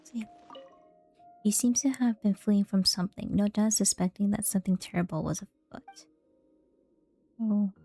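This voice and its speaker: A woman's voice: mid-range voice, normal speed, pauses often, mellow, no echo, faint music behind. A woman giving casual, reactive game commentary in short murmured phrases to herself, at times reading text aloud. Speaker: game streamer.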